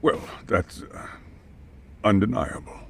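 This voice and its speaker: A gravelly, lowish-voiced male school chairman in a video game drama. He is formal and uneasy, hesitating and swinging widely in tone. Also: lively intonation, takes it slowly, slight background noise, full character voice. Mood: uneasy, defensive. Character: anxious, apologetic male school chairman, middle-aged or older. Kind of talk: video game cutscene acting, English dub.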